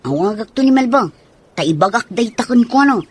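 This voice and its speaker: A woman's voice: on the high side, lively intonation, fairly quick, husky. A woman playing a friendly, cheerful tomboy in an Ilocano radio drama.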